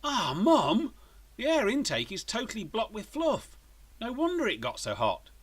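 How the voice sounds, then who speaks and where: A man's voice: high-pitched, huge pitch swings, normal speed, slightly rough, pitched above the performer's own voice, heavily acted. A man voicing an earnest, inquisitive schoolboy inventor who reasons things out in a children's story.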